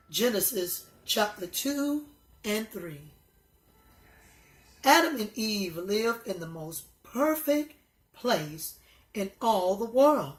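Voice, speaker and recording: A woman reading a children's story aloud, with halting, deliberate delivery. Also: fairly high pitch. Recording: echoey room.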